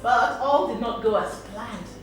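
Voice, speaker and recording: A woman telling a story to children, pausing to explain and ask questions. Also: fairly high pitch. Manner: explains words, asks questions. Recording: echoey, distant mic, music behind.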